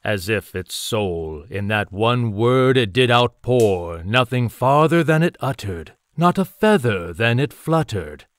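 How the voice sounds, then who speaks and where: A man playing a solemn storyteller, narrating gothic poetry in a theatrical, measured audio drama style. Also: mid-range voice, lively intonation, dry recording. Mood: solemn, brooding.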